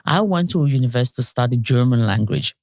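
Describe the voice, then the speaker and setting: Chinese-accented woman, halting and upbeat. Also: rumbling low, lively intonation, gravelly, darkish tone, no room sound, studio quality. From China. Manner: halting, many restarts and fillers, upbeat storytelling. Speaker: interview guest. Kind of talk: casual interview chat.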